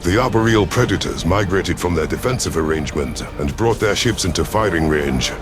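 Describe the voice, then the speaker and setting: A man's voice: deep voice, animated, brisk. A man narrating a science fiction story in the first person, in a dramatic scripted reading style. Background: background music.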